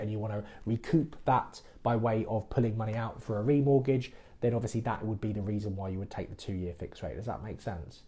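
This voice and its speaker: Man, podcast host, chatty, plain explanations, warm mellow voice. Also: mid-range voice. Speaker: mortgage broker and podcast host. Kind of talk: casual live Q&A podcast. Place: based in the Midlands.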